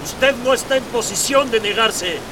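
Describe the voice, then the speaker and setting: Very high-voiced naive Mexican lad. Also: male voice, normal speed, recorded from a distance, noisy background. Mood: warning. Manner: colloquial, slangy. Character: naive, soft-hearted young Mexican guy, slangy adventurer. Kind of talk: radio drama acting.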